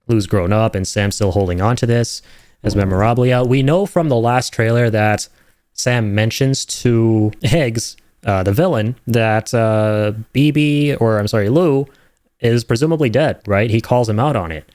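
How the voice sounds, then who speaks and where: An enthusiastic man talks casually through game trailer theories. His voice sits fairly low and is recorded dry. He speculates freely, like a streamer hosting a reaction video. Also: unhurried, a little mellow, clear, resonant tone, no echo.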